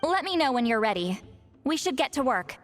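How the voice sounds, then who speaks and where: Lively, sassy self-styled granny mentor. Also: female voice, high-pitched, fairly quick. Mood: smug. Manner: teasing, self-assured, refers to self as granny. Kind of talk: video game voice acting. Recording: background music.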